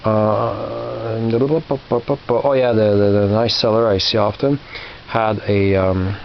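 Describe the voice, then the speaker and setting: A man in his fifties with a slightly low voice talks through his flea market finds in a halting, rambling way, full of fillers and restarts. The recording sounds a little muffled, with a faint hiss underneath. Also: steady tone, vocal fry. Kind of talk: casual rambling show-and-tell.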